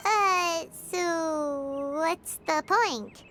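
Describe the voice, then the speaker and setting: A woman's voice: very high voice, drawn-out words, fairly bright tone, full character voice. A woman voicing a tiny, chatty female fairy companion who talks about herself in the third person. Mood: unimpressed. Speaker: guest commentator. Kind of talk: video game voice acting. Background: game music.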